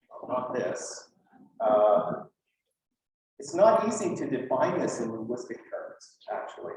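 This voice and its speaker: A man's voice: medium pitch, darkish tone. A man with a Western Canadian accent giving an informal academic talk, conversational, with rhetorical questions and dry asides. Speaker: conference speaker, linguist. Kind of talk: informal academic lecture to an audience. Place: lecture room at a conference. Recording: very echoey room, distant mic.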